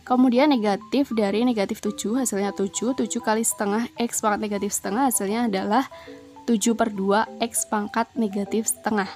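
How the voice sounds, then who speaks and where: Calm methodical Indonesian woman teacher. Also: mid-range voice. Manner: calm, methodical, explains each step aloud. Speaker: math teacher. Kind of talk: step-by-step math lesson.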